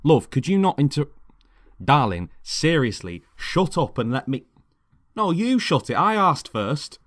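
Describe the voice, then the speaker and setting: A man's voice: on the high side, very animated, unhurried, dry recording, clean studio sound, pitched above the performer's own voice. A man voicing a controlling boyfriend in an audio story, colloquial and mock-affectionate, with a temper under the cajoling. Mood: indignant, defensive. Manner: colloquial, calls listener love, cajoling then bickering. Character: controlling boyfriend, mock-affectionate, prone to sudden indignant rage. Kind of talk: dramatic monologue in an audio story.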